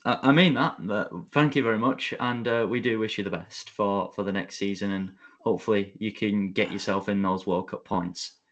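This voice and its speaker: A man co-hosting a podcast interview, chatting in a relaxed, self-deprecating way. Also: on the low side, normal speed, hardly any pauses, lo-fi sound. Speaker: podcast co-host. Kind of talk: casual interview.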